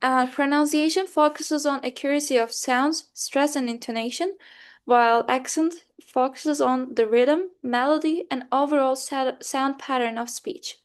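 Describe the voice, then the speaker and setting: A woman's voice: sits high, fairly even intonation, a little slow. A young woman from Turkey with a Turkish accent, giving a class presentation in a hesitant way, with fillers and self-corrections. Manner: hesitant, many fillers and restarts. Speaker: student presenter.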